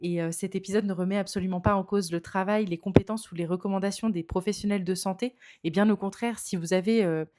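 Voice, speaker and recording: A woman's voice: medium pitch, steady tone, fast talker, hardly any pauses, heavy background noise. A woman hosting a podcast in casual chat, talking with many fillers and restarts. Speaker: podcast host.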